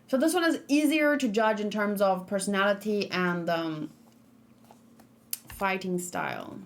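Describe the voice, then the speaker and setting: A woman's voice: mid-range voice, unhurried. A young woman chatting casually on a live stream, thinking aloud and reading out chat messages as she reacts to game trailers.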